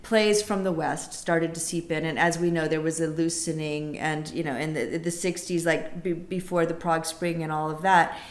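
A woman speaking as an interview guest, her voice sitting a little low for a woman. She tells stories in an animated, chatty way, piling up quick asides and stopping now and then to laugh. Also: roomy sound. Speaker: casting director and theatre director, guest. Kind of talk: conversational podcast interview.

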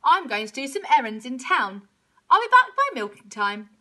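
A woman's voice: high voice, big pitch swings, normal speed, sharp tone, full character voice. A woman voicing a practical male farmer in a children's story, patiently reasoning and cautioning.

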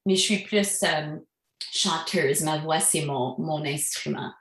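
A woman's voice: deep voice, slow pace, roomy sound. A Franco-Albertan woman in her thirties, a singer-songwriter guest, talking in a casual, chatty way with many fillers. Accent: Franco-Albertan French. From Alberta, Canada. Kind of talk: casual interview talk.

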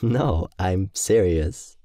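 A man's voice: low-pitched, very slow, mellow, dry recording, studio quality. A man playing a cruel, smug young demon bully who drawls tauntingly in an audio roleplay. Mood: feigned sincerity. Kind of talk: ASMR audio roleplay acting.

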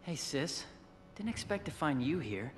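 Brash young adventurer, noisy ambience. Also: male voice, on the high side, big pitch swings, measured pace. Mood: casual. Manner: casual, youthful, blunt. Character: brash, protective teenage adventurer, a devoted brother. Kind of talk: video game cutscene acting.

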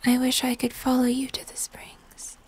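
A young woman playing a gentle, mysterious moth-human hybrid in fantasy ASMR, speaking in soft, breathy whispers. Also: high voice, monotone, lightly acted. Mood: gentle, guiding. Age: young adult. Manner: slow, hushed, mysterious, gentle. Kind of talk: cinematic fantasy ASMR roleplay.

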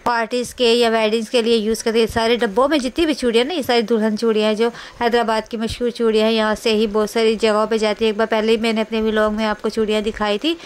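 A woman's voice: a little high, flat delivery, fast, few pauses, smooth. A woman with a Pakistani accent narrating a casual shopping vlog as a voice-over, chatty and rambling, often repeating herself. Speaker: vlogger.

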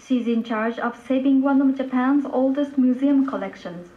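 High-voiced woman reporter, muffled playback. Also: normal speed. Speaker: news reporter. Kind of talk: scripted documentary report narration. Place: broadcast replayed over speakers in a lecture room. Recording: muffled, noisy playback in a room.

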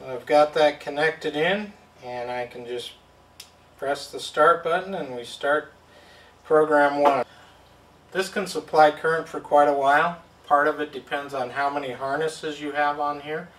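A man's voice: fairly high pitch, steady tone, unhurried, fairly bright tone, far from the mic. Man explaining a gadget in a roomy studio. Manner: plain, step-by-step explaining. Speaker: product demonstrator. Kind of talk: hands-on product demo. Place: indoor studio at a table. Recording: roomy sound from off-mic.

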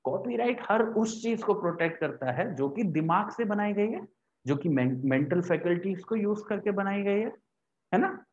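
Higher-voiced young man, teaching. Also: animated, normal speed, a little mellow, lo-fi sound. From India. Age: young adult. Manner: explanatory, repeats points and poses questions he answers. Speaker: law teacher. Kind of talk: lecture to camera, Hindi mixed with English terms. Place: small indoor room with a whiteboard. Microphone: lapel mic.

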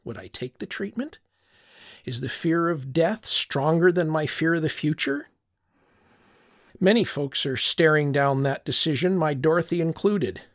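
A man's voice: fairly high pitch, lively intonation, unhurried. An older man narrating a short story in the first person, reflective and wistful. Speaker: audiobook narrator.

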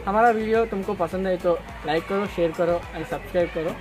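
A man's voice: high-pitched, fairly quick, music in the background. A young man, presenting a vlog to camera. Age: young adult. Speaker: vlogger, host. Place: outdoors at a roadside garage.

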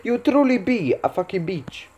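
A man's voice: high voice, big pitch swings, normal speed, noisy background. A man voicing a crude, boastful Soviet commander persona who reacts to a video with profane, mocking commentary and calls everyone comrade.

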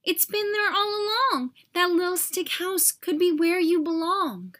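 Woman as female twig, storybook friend, high-pitched, kind, upbeat, emphatic, encouraging. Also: a little slow, fairly bright tone. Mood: excited urging.

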